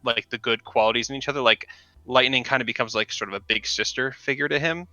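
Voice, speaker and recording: Bright-voiced young man chatting about games. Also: medium pitch, steady tone, fast, no echo. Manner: rambling, lots of restarts and fillers. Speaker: podcast co-host. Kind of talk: casual gaming podcast chat. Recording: dull lo-fi sound with faint music.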